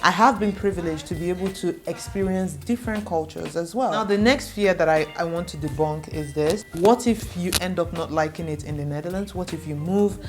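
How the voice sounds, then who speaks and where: A young adult woman from Nigeria, a native Igbo speaker, speaks in a somewhat low voice as she reassures her viewers. She is earnest and steady, and she leans on repetition to drive her points home. Faint music plays quietly under her speech. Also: brisk, hardly any pauses. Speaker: vlogger. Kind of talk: direct-to-camera advice vlog.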